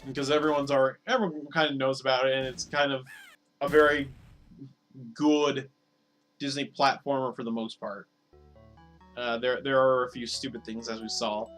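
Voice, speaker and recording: A man streaming games, talking casually and rambling with lots of fillers, his voice slightly hoarse. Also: mid-range voice, little pitch movement, moderate pace, pauses often, fairly bright tone, soft background music. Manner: rambling, filler-heavy, repeats words. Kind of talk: casual live-stream chat over gameplay.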